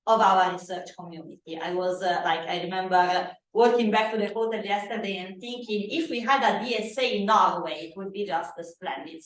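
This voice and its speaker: A woman with an Italian accent giving a conference keynote in a loud, animated way. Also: medium pitch, far from the mic. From Italy. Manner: animated, loud, self-deprecating asides. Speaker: keynote speaker. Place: conference hall. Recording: echoey hall from afar.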